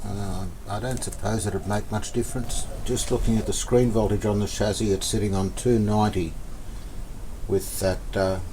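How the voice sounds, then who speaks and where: A man talks through a hands-on repair in a low, mellow voice. He sounds casual and tentative, working things out as he goes, with some background noise around him. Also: fairly even intonation, moderate pace, rough recording. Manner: thinking aloud, tentative. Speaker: hobbyist radio restorer presenting a repair video.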